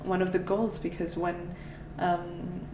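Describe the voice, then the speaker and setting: Flat gravelly woman from Portugal, distant. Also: lowish voice, slow. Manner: reflective, thinks aloud with fillers. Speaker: podcast host. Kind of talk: casual interview conversation. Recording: roomy, distant, noisy recording.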